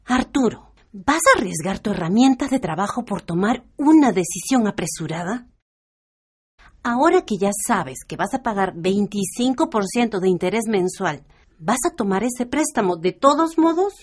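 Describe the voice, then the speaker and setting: Animated, sensible Peruvian aunt. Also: female voice, medium pitch, moderate pace, slightly rough. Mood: concerned, indignant. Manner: earnest, admonishing. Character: sensible, protective middle-aged aunt. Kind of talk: radio drama acting.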